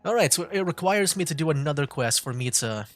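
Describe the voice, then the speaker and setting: A man's voice: on the high side, animated, a little fast, a bit bright. A man streaming a video game, giving casual commentary as he plays. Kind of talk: casual game stream commentary, reading dialogue choices aloud. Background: game music.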